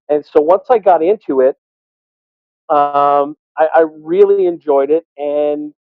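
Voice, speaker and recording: A man's voice: medium pitch, dry recording. A man answering interview questions candidly and reflectively, as a sports announcer telling his story. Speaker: sports public address announcer. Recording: tinny, phone-like call audio.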